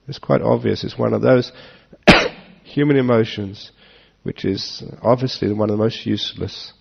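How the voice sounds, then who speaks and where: A man giving a relaxed Dharma talk to an audience, joking and chuckling as he answers questions. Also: on the low side, normal speed, creaky, slightly rough. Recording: dull lo-fi talk recording.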